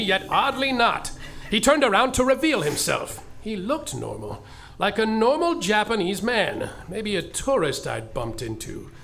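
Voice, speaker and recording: Hammy high-voiced narrator man. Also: creaky. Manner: exasperated, hammy, quick storyteller. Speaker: narrator and lead performer. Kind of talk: live comedic storytelling narration. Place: live theater stage. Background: live band music.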